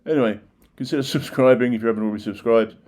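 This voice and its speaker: A man's voice: mid-range voice, very fast, a little mellow. An older man, presenting local history in informal narration with plain-spoken conversational asides. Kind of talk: informal narration of old newspaper clippings.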